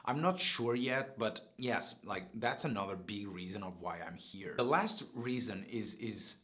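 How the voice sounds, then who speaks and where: A man's voice: on the low side, expressive, unhurried, a bit bright. Earnest Portuguese-accented man in his thirties. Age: 30s. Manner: earnest, rambling with many fillers and restarts. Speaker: YouTuber. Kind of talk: casual talking-head vlog.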